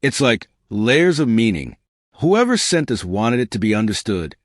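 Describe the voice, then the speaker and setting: A determined female radio astronomer with a steady, urgent delivery, put on by a male narrator. Her lines move across a wide span of pitch, and the dry, close recording carries no room sound. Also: moderate pace, dry recording.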